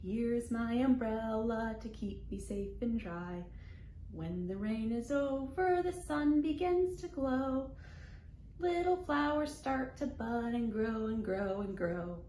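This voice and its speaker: Casting: female children's storytime reader, unhurried and sing-song, playful with sound words, recorded in an echoey room. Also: a little high, mellow. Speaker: children's librarian, storytime host. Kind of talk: expressive picture-book read-aloud for young children, with rhymes. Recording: roomy echo, faint background noise.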